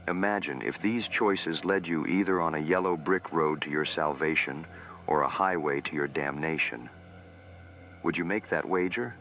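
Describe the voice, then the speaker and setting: A man as anthology host delivering a scripted horror introduction in an ominous, measured, theatrical way. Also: extremely low pitch, a bit bright, a little hiss or hum. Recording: dull, band-limited sound over music. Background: faint music.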